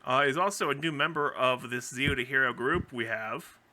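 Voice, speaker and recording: A man's voice: medium pitch, moderate pace, bright, forceful tone, rough recording. A man co-hosting a podcast, wisecracking in casual banter. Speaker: podcast co-host.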